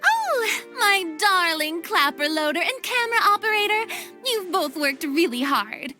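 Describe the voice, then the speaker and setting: Dramatic young starlet for a game: very high, slow-paced, theatrical, music underneath. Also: female voice, sing-song, sharp tone, heavily acted. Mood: cheerful. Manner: theatrical, flustered, grand. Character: dramatic, theatrical young female film star. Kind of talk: video game character acting.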